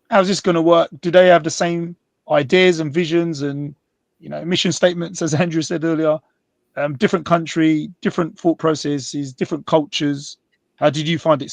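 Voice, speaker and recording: A man's voice: fairly high pitch, dry recording. Man hosting a panel, dry sound. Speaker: host. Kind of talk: panel moderation.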